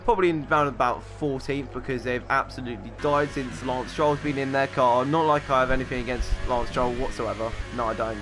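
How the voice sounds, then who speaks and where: A man commentating a sim racing qualifying session, calling laps quickly and excitedly, with offhand asides. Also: mid-range voice, music in the background. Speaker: race commentator.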